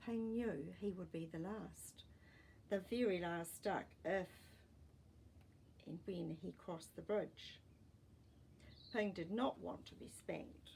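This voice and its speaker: A woman reading a children's storybook aloud as a storytime host, with deliberate, expressive phrasing for young listeners and small asides. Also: fairly low pitch, creaky, a little hiss or hum.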